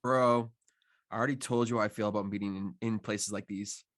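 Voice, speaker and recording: A man's voice: fairly low pitch, fairly even intonation, a little fast, fairly bright tone, lightly acted. Man playing a wary, understated streetwise guy. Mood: uneasy. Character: wary, witty young streetwise male friend. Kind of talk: dramatic screenplay table read.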